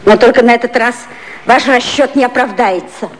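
An actress playing a fiery, sharp-tongued, scolding aide to a prime minister in a 1950s satirical radio play. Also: female voice, fairly high pitch, moderate pace, close to an everyday voice. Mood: grudging, threatening. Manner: sharp-tongued, indignant, theatrical. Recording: noisy old radio play recording.

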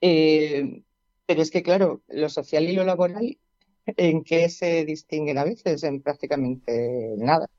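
A union representative speaking as a radio guest, measured and explanatory. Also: medium pitch, warm tone, dry recording, highs cut off. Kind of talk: conversational radio interview.

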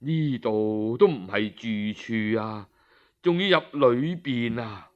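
A man voicing a kindly, courteous old physician living as a hermit, gently reassuring in a Cantonese storytelling style. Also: mid-range voice, slow, highs cut off, voice pushed down, close to an everyday voice. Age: older.

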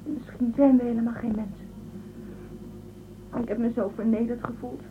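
A woman's voice: fairly high pitch, level tone, normal speed, natural voice. An actress playing an earnest, protesting young woman raised aboard a spaceship, in a science fiction radio drama. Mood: hurt. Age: 20s. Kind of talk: Dutch radio drama acting. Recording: tinny phone-like band, loud background noise.

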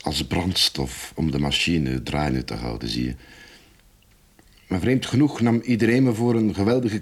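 An actor playing a rueful middle-aged recovering alcoholic confessing in a radio drama, husky, halting and frank. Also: male voice, extremely low pitch, full character voice.

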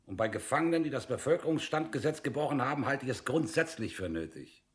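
An actor in a radio drama playing a brutal, domineering captain who interrogates with sneering contempt. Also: male voice, medium pitch, animated, fast, close to an everyday voice. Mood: scornful, domineering.

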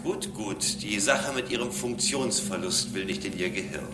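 An actor playing a condescending, devout robot with a formal, measured manner in a German radio drama. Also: male voice, fairly low pitch, distant mic, over music, lightly acted. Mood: patronizing calm. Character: robot convinced it serves a higher Lord, condescending and calm. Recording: roomy echo, clear background hiss.

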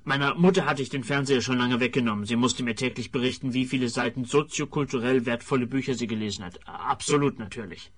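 An actor playing a smug, blithely callous sociologist in a radio comedy, speaking pompously and emphatically. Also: male voice, medium pitch, quick pace, few pauses, lightly acted. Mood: self-important.

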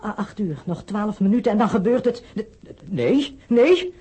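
A woman playing an excitable, good-hearted boy reporter in a Dutch radio play, stammering when flustered. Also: medium pitch, moderate pace, slightly rough. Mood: panicked.

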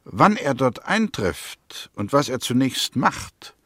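An actor playing a coolly accusing prosecutor in a 1960s radio drama, measured, pointed and methodical. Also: male voice, medium pitch, very animated, sharp tone, slightly rough, no room sound, studio quality, heavily acted. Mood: pointed, assured. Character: coolly accusing male prosecutor reconstructing a suspect's movements.

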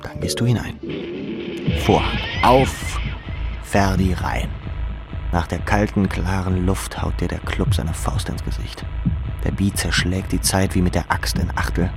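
A man's voice: low-pitched, fairly even intonation, unhurried, gravelly, music in the background. A man voicing a restless, grieving young clubber's self-lacerating inner monologue in dramatic, clipped phrases. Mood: edgy, intoxicated. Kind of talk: literary second-person monologue.

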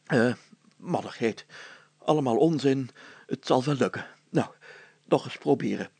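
A man voicing a fussy, bumbling older mayor in a children's story, flustered and self-pitying, hemming, hawing and clearing his throat. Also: mid-range voice, lively intonation, unhurried, darkish tone, dry recording, exaggerated voice. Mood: sheepish, resigned.

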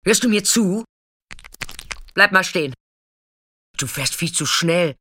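A woman's voice: fairly low pitch, animated, slow pace, sharp tone, a little hiss or hum, full character voice. An actress playing a tough, defiant street sex worker, telling her story in a blunt, colloquial radio drama monologue. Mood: alarmed, agitated. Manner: colloquial, blunt, swinging from intimate recollection to agitated outbursts.

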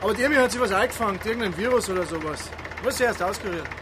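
High, quick Bavarian man. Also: lively intonation. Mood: hurried. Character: hurried, breezy Bavarian newlywed husband. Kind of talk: radio drama dialogue. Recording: noisy background, idling engine.

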